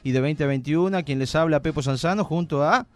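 A man's voice: a little high, fairly quick. A man with an Argentine accent hosting a live radio show, with a playful, joking way of talking. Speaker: radio host.

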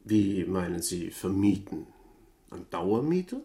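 An actor playing a politely talkative furrier in a German radio crime drama, hesitant, defensive and over-explaining. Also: male voice, low-pitched, sing-song, measured pace, mellow, heavily acted. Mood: evasive. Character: evasive, flustered male furrier, politely talkative shopkeeper.

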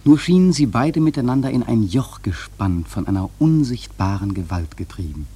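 Husky, grave sailor narrator. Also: male voice, mid-range voice, animated, warm tone. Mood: solemn, ominous. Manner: measured, solemn, with dramatic swells. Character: grave male sailor narrating a haunted whaling voyage. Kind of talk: dramatic literary narration for radio play.

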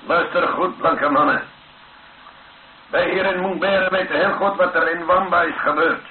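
An actor in a radio play as a slow, deliberate, authoritative male rebel commander. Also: high-pitched, fairly even intonation, lightly acted. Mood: firm, solemn. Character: menacing, slow-spoken Simba rebel camp commander. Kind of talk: radio drama acting. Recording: echoey, tinny, noisy old radio recording.

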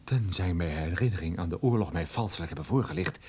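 An actor playing a weary, wry private detective in a radio drama, with a dry manner and hesitant, bursting delivery. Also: male voice, low voice, expressive, fast, very noisy. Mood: tense, wry. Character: wry male private detective, weary, jumpy, suspected in smuggling.

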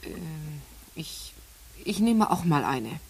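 A woman's voice: deep voice, animated, takes it slowly, slight background noise, full character voice, low-quality recording. An actress playing a dismissive, critical woman in a radio play, by turns cutting and hesitant. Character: dismissive critic of men, hesitant yet longing. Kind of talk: radio play acting, dialogue.

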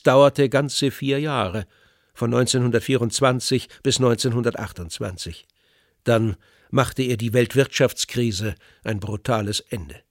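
A man narrating a scripted radio documentary in a measured, articulate, essayistic way. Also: mid-range voice, no room sound, clean studio sound.

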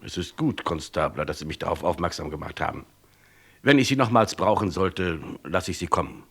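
An actor playing a brisk, authoritative Scotland Yard chief in a German radio crime drama, with clipped, commanding delivery and a husky voice. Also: male voice, deep voice, a bit bright, heavily acted. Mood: curt, probing.

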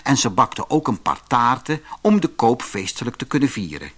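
A man reading a fairy tale for children in an expressive narrating style. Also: mid-range voice, moderate pace.

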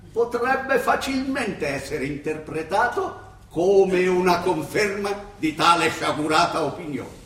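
An actor playing a stern, pompous older prelate presiding over a tribunal, formal, declamatory and impatient, in radio theatre style. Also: male voice, high voice, a bit bright, heavily acted. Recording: distant, roomy stage sound, faint noise.

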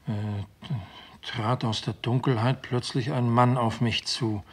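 A man playing a puzzled, plain-spoken police subordinate with a husky voice in a vintage radio crime play. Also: mid-range voice, flat delivery, measured pace. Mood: reading out. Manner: bewildered, blunt, deferential to his chief.

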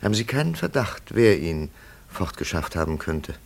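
An actor playing a thoughtful, probing investigator with a pondering, deliberate manner in a German radio play. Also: male voice, low-pitched, big pitch swings, gravelly, heavily acted. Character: thoughtful, probing male investigator, quietly certain.

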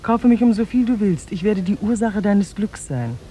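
A woman's voice: medium pitch, sing-song, normal speed, warm tone, close to an everyday voice. An actress playing a devoted, shrewd young slave woman in a 1950s German radio drama, pleading tenderly and theatrically. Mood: coaxing. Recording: noisy 1950s radio recording.

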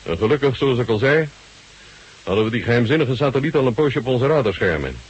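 Radio drama marshal: shrewd Soviet old soldier, very husky, mid-pitched, genially bantering and courteous. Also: male voice, lively intonation, fast talker, dull audio. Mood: calm, explaining.

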